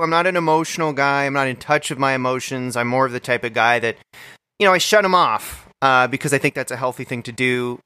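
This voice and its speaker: Male podcast co-host, bright, forward voice, mock-macho deadpan storyteller, dry close mic. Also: mid-range voice, smooth, no echo. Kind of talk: deadpan comedic podcast banter.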